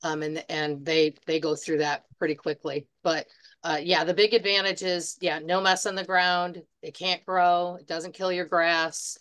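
A woman's voice: fairly low pitch, level tone, measured pace, fairly bright tone, clean tone. A middle-aged woman who runs a bird supply store, talking on a video call in a chatty, practical way with many fillers. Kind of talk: casual panel chat. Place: home room.

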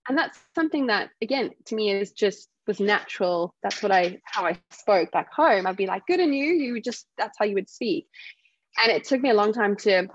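Woman with a softened South African accent. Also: medium pitch, moderate pace, dry recording, lo-fi sound. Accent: South African, softened by years in the UK. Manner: animated storytelling, acts out other people's remarks. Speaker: guest speaker. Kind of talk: casual conversational interview.

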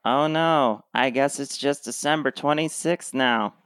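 A sardonic, reluctant hero acted by a woman shrugs through a radio comedy. Her deadpan voice sounds close and dry. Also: a bass voice, a little slow, no echo. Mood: mock dismay. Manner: deadpan, sarcastic. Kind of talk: comic radio play acting.

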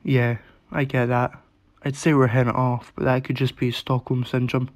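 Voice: mid-range voice, flat delivery, normal speed, a little mellow, lightly acted. An actor playing an awkward, anxious, self-deprecating young introverted man in short-story dialogue, hesitant and dry.

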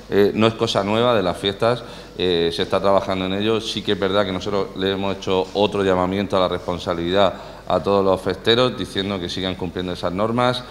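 A young adult man with a Murcian Spanish accent, speaking hesitantly with many fillers at a press conference podium. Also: low-pitched. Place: city hall press room, indoors. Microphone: podium microphone. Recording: reverberant hall, faint room hiss.

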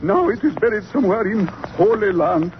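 An actor playing a timid shopkeeper informant in 1940s radio drama, with stilted phrasing that drops articles. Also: male voice, high voice, expressive, moderate pace. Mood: guarded. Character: timid, high-voiced male shopkeeper informant. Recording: muffled old radio recording, faint noise.

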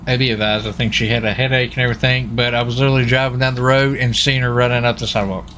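A man's voice: medium pitch, level tone, racing, sharp tone. A man who is streaming a roleplay video game, talking casually in a folksy, chatty way. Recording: noisy engine and street sounds behind. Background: vehicle and game ambience.

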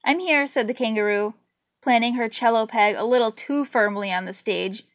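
Female storybook reader, high-pitched and expressive, close dry sound. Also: normal speed, no echo. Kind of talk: expressive children's picture-book read-aloud. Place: indoors in a room at home.

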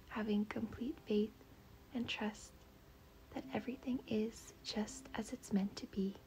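A woman leading a guided meditation in a soft, breathy, half-whispered voice, gentle, soothing and unhurried. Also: mid-range voice, slight background noise.

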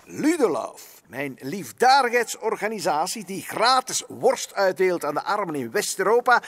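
A man voicing a grandiose, smooth-talking crooked South American president in a comic-book read-aloud, with music behind. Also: high-pitched, sing-song, normal speed, a bit bright, heavily acted. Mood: persuasive.

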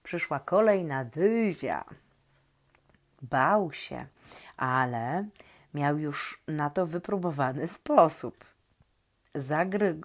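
A woman reading a children's story expressively and talking gently and directly to young listeners. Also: low-pitched.

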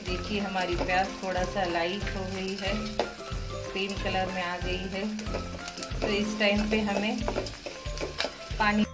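A woman hosting a home cooking channel, calmly walking through each step of a recipe, pointing things out as she goes. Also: medium pitch, slow pace, pauses often, over loud music. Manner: patient, explanatory, repeats steps with "dekhiye". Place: home kitchen, cooking at the stove.

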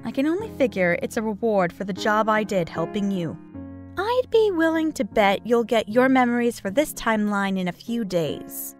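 A woman plays a kindly cartoon pony aunt in a fairly high, very expressive voice. She sounds genteel and sincere, gently teasing, with clear music underneath. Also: normal speed. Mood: explaining. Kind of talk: animated comic dub acting.

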